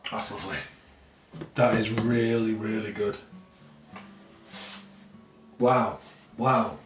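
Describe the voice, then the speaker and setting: A man's voice: on the low side, steady tone, slow pace. A middle-aged man casually reviewing a beer at home in his kitchen, rambling with many fillers and repeated words. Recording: roomy, slightly distant sound with faint music.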